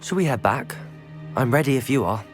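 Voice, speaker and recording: A man's voice: mid-range voice, expressive, brisk, soft background music, natural voice. A young man playing a composed, kind teenage wizard hero in a video game, with a British accent and a dry, understated delivery. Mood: calm.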